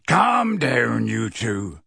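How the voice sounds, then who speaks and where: A man voicing a gruff, defiant but big-hearted half-giant gamekeeper in an audiobook, with a gravelly, husky voice and blunt rustic speech. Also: mid-range voice, big pitch swings, very slow, pushed and bright, no room sound, studio quality, exaggerated voice. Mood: dismissive.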